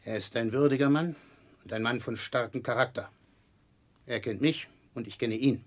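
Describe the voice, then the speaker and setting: An actor playing a menacing, self-important headmaster in a 1960s German radio drama, domineering and threatening in manner. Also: male voice, mid-range voice, moderate pace, close to an everyday voice.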